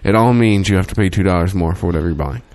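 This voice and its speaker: A man co-hosting a casual chat, making quick joking asides. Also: low voice, a little mellow, no echo. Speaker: podcast co-host. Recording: talk over a song playing.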